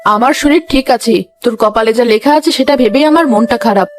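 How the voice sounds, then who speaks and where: Woman doing nosy bossy cartoon mother-in-law, high fast voice, accusing everyone, loud music behind. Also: lightly acted. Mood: bitter, accusing. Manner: interrogating, accusing, dramatic. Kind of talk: animated comedy story acting.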